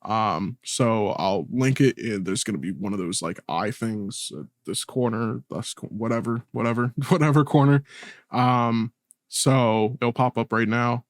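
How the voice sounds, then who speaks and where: A man's voice: mid-range voice, a little slow, no echo, studio quality. A man speaking earnestly and colloquially in a personal video essay, as the creator narrating it.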